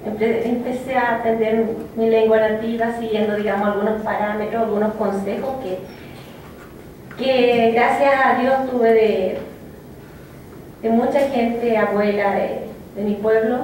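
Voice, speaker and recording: Slow, reflective woman in an echoey room. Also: a little high, steady tone, darkish tone. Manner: reflective, halting, many restarts and fillers. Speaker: poet speaking of her native language. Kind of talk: personal testimony talk. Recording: echoey room, from a distance, faint noise.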